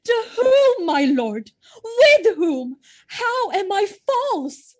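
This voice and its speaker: A woman playing a loyal, innocent, distressed noble wife in a Shakespearean audio drama, speaking in an earnest, pleading, measured way. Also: very high-pitched, sing-song, exaggerated voice. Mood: frightened pleading.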